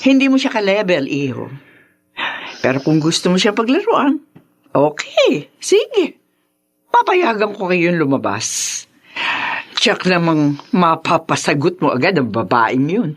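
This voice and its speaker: An actress playing a haughty, scornful, middle-aged wealthy matron with a Filipino accent in a radio drama, condescending and imperious, dropping into English. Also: female voice, on the low side, very animated, a little slow. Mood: mocking, scornful.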